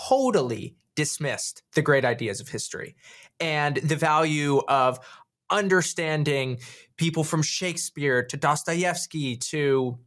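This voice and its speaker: A man with a slightly high-pitched voice talks as a podcast guest. He is earnest and reflective, telling stories and explaining ideas, and leans on key words by drawing them out. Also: fairly bright tone. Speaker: podcast guest, writer and founder. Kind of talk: reflective interview talk, storytelling.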